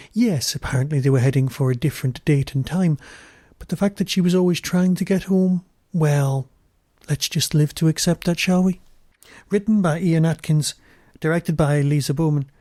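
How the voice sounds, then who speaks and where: Man hosting, mellow and close. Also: a little high, no room sound. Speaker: podcast host and reviewer. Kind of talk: scripted podcast review monologue.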